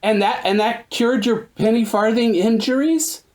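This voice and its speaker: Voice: mid-range voice, moderate pace, darkish tone, close to an everyday voice. A performer playing a quizzing character in a comic radio play, recapping a wild story with questions.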